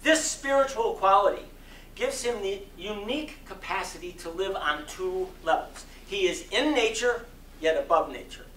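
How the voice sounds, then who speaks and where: A man performing a dramatic sermon reading in an oratorical style, with solemn, emphatic phrasing and long deliberate pauses. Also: high-pitched, expressive, a bit bright. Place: community center hall before an audience. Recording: roomy echo, distant mic, faint noise.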